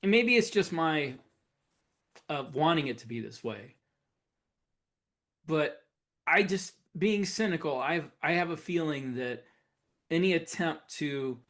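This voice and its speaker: Casting: male podcast co-host, slightly high-pitched voice, informal conversational delivery. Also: lively intonation, a little slow, pauses often, fairly bright tone. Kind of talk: casual chat.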